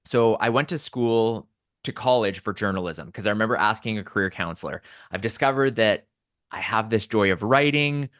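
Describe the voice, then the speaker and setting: Low-voiced Canadian man telling stories. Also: normal speed, fairly bright tone, dry recording, clean studio sound. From Southern Alberta, Canada. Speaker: podcast guest. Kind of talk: conversational personal storytelling.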